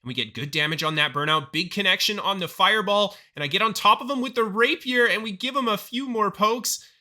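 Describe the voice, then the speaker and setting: Hoarse, bright-toned gaming commentator man. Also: high-pitched, brisk. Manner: upbeat, excited over big plays. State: hoarse from illness. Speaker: gaming YouTuber. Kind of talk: gameplay commentary voice-over.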